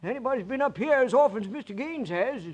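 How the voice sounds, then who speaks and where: A man's voice: animated, quick pace. An actor playing a folksy, apologetic old watchman in a radio drama, stammering and hesitating as he talks. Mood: hesitant. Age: older. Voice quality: high-pitched, folksy.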